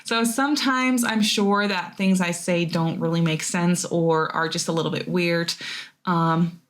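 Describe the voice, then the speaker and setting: A woman's voice: lowish voice, fairly even intonation, unhurried. A woman who learned English as a second language, chatting in a rambling, casual voiceover, laughing at herself now and then and filling gaps with "um" and "like". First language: not English. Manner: rambly, chatty, many fillers and restarts. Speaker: Sims builder and YouTuber. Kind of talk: casual voiceover of a speed build video.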